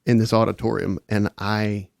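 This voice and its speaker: A man's voice: lowish voice, flat delivery, normal speed, creaky, darkish tone, no echo, studio quality. A man guest on a casual podcast, telling long stories with many restarts. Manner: rambling, self-interrupting storyteller.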